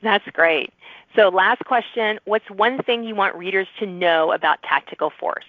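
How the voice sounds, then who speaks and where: A woman's voice: mid-range voice, unhurried, no echo, narrow, tinny audio. A woman hosting a casual interview show, informal and eager in how she reacts to answers. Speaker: podcast host interviewing authors.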